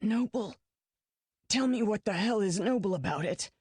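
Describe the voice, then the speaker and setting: A woman's voice: medium pitch, slow pace, breathy edge, dry recording, clean studio sound, exaggerated voice. A woman voicing a mortally wounded man who speaks in strained, exhausted, raised bursts. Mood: astonished.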